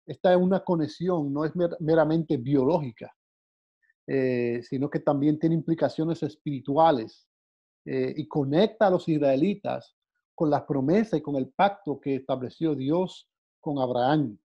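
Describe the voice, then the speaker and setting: A man from the Dominican Republic teaching a Bible lesson off the cuff, with frequent hesitations and repeated words. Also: fairly high pitch. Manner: halting, many "eh" fillers and repeated words. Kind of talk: unscripted Bible class talk. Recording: dull lo-fi sound.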